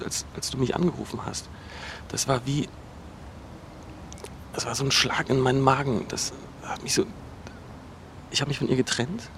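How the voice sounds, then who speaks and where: A man's voice: medium pitch, natural voice. A man plays an obsessive, hurt ex-boyfriend in a radio drama. His mid-range voice is insistent and accusatory, stumbling over repeated words in an improvised way. A faint noise sits behind him. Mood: wounded, pleading. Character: bitter, obsessive, wounded ex-boyfriend.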